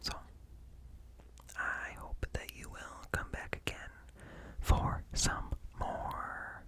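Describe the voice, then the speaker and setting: Slow, whispering man. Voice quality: breathy, unvoiced whisper. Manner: slow, deliberate, hushed, broken into short phrases. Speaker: ASMR host. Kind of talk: whispered ASMR reading of encyclopedia articles. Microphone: close ear-to-ear microphone.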